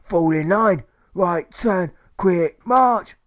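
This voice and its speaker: A man's voice: sits high, extremely slow, pitched above the performer's own voice. Blustering military officer played by a male narrator, raised squawking voice, indignant, archaic insults, storybook villain bark. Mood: barking orders. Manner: squawking, outraged, old-fashioned insults. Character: blustering, cursing provost marshal barking insults and orders.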